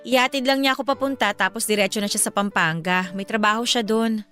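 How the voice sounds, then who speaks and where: A woman's voice: fairly high pitch, fast, pushed and bright. A woman playing an earnest, caring young woman in a Filipino radio drama. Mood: casual, friendly. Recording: music bed under the dialogue. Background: music.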